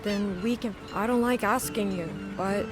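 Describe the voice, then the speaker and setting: A woman's voice: medium pitch, moderate pace, over music, close to an everyday voice. An actress playing a blunt, reserved craftswoman in a video game, speaking in clipped, no-nonsense phrases. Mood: reluctant, businesslike. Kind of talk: video game cutscene acting.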